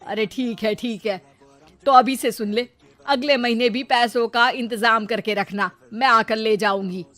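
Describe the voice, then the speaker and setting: A woman's voice: on the high side, fairly even intonation, unhurried, a bit bright. A woman voicing a greedy, scolding middle-aged mother in a dramatic cartoon moral story. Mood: scolding, demanding. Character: greedy, sharp-tongued middle-aged mother, quick to threaten.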